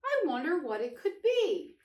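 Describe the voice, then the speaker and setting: A woman's voice: high-pitched, big pitch swings, unhurried, darkish tone, pitched above the performer's own voice. A woman voicing a small, childlike male toy bear in a storybook, earnest, wondering and plaintive. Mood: uneasy, puzzled. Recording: echoey room, distant microphone.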